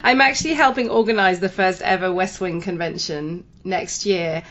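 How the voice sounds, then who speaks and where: A woman's voice: lowish voice, moderate pace, a bit bright, dull audio. Enthusiastic woman podcast host. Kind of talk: scripted podcast intro and interview.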